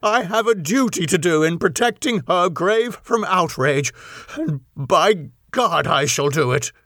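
A man's voice: high-pitched, lively intonation, unhurried, a bit bright, no room sound, clean studio sound, exaggerated voice. A man voicing a passionate, anguished young English lord with a hot temper in an audiobook story. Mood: passionate outrage.

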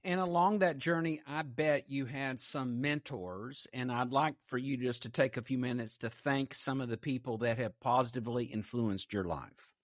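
A man's voice: mid-range voice, moderate pace, hardly any pauses, no echo. A male talk show host opens with a read introduction in an announcer's manner, then asks the guest open questions. His voice is mid-range for a man and sounds close and dry, as if in a small studio. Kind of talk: interview hosting.

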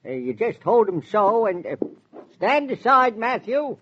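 A man's voice: sits high, sing-song, a little slow, fairly bright tone, slight background noise, full character voice. An actor playing a folksy, talkative older handyman with a rural American accent, rambling in homespun wisdom in 1940s radio drama style. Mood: insistent, folksy. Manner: rustic, rambling, homespun philosophizing. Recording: dull vintage 1940s radio recording.

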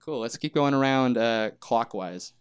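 A man's voice: medium pitch, unhurried, clean tone. An American man chatting casually as a guest from a band. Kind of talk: casual chat.

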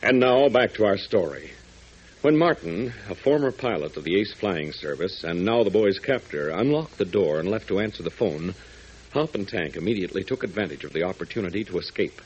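A male radio announcer narrating a 1940s adventure serial in a dramatic, excited style, with a husky voice. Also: sits low, fairly quick. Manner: dramatic, building excitement in countdowns. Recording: dull lo-fi 1940s radio transcription.

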